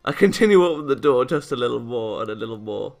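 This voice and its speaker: A man reading a visual novel aloud as a let's-play narrator, expressive and theatrical, with game music behind him. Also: mid-range voice, fast, creaky.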